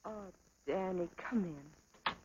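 An actress playing a tender but firm young stage dancer in an old film melodrama. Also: female voice, fairly low pitch, takes it slowly, lo-fi sound, background noise. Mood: glad.

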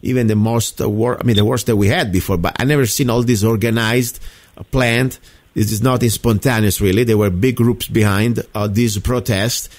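A man's voice: lowish voice, steady tone, normal speed, darkish tone. A man from Italy with an Italian accent, hosting a radio call-in show in an emphatic, repetitive way. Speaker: radio talk show host. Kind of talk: opinionated call-in chat.

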